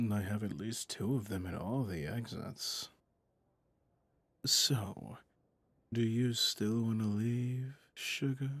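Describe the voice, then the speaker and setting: A man acting a husky, low-voiced mafia boss. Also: no room sound, heavily acted. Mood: coolly threatening. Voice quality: low, husky, often dropping to a whisper. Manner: slow, teasing, intimate, with pet names. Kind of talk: ASMR roleplay acting. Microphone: close studio microphone, dry.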